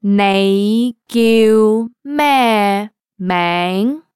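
Clear-voiced woman with Cantonese accent. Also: mid-range voice, darkish tone, no room sound. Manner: careful slow model pronunciation. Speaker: language lesson co-host. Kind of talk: scripted language lesson. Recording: dry studio recording.

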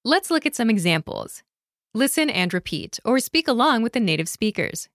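A woman narrating a scripted language lesson in a clear, measured, instructional way, recorded close to a studio mic. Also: a little high, sing-song, dry recording. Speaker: course narrator.

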